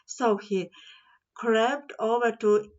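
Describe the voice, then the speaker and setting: Lo-fi audio; female children's audiobook narrator, high-pitched, expressive, deliberate. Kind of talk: scripted children's storytelling.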